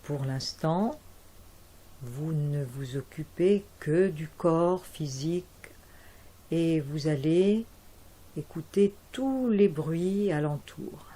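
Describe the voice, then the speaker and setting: A woman leading a guided yoga nidra relaxation as an instructor, giving calm, measured instructions with deliberate pauses. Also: sits low.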